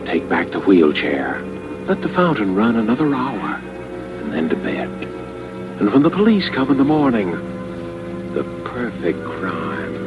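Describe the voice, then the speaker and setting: A man's voice: low-pitched, huge pitch swings, vocal fry, narrow, tinny audio, exaggerated voice. An actor playing a desperate, cold murderer scheming his perfect crime in a brooding, theatrical old radio drama monologue. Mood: grim triumph. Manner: theatrical, brooding, halting self-talk. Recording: band-limited old radio recording with music behind.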